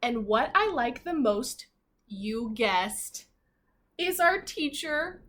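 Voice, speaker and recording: Woman teacher with a lively, swooping read-aloud voice. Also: on the high side, slow pace. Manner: expressive, asks the children questions directly. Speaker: primary school teacher reading aloud to pupils. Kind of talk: animated read-aloud of a children's picture book with asides.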